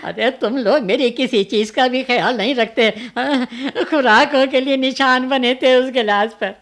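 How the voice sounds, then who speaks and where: An actor playing a querulous, self-pitying, bedridden old father in a radio drama, with halting, plaintive, repetitive speech. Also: very high voice, fairly bright tone. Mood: querulous, fretful. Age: older. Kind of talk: Hindi radio play acting.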